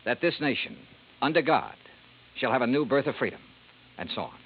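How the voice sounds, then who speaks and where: An actor playing a shrewd, confident, wry hard-boiled investigator in a vintage radio noir, with crisp delivery. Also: male voice, medium pitch, lively intonation, brisk. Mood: accusing, wry. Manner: crisp, clipped, confident accusations. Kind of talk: 1950s radio noir acting and narration. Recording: muffled, faintly noisy vintage radio recording.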